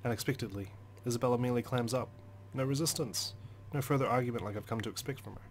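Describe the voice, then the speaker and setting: A man's voice: medium pitch, fast, a little hiss or hum. A man hosting a let's play, reading a visual novel's story aloud as dramatic, brooding narration.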